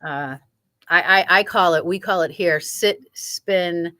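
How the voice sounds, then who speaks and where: A woman's voice: fairly low pitch, slow, sharp tone, no room sound, clean studio sound. A woman hosting a casual chat in a sewing shop, eager and chatty, often repeating words as she starts a sentence.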